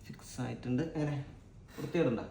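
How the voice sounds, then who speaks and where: A man's voice: mid-range voice, fast, gravelly, mellow. Echoey Malayali man, casual vlogger. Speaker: vlog host. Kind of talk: casual unboxing vlog commentary. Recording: echoey room with faint background noise.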